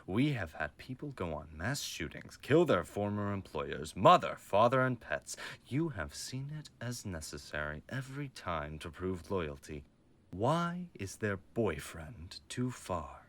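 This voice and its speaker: A man's voice: low-pitched, very animated, measured pace, a bit bright, heavily acted. A man playing a stern, menacing mafia boss father with a formal, commanding manner in an audio roleplay. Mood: harsh. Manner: formal, commanding, coldly threatening.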